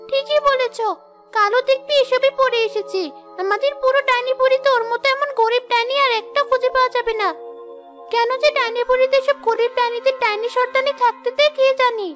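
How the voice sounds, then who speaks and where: A snobbish cartoon witch with an extremely high, childlike pitch. She gossips scornfully in fairy tale style. Music runs clearly underneath.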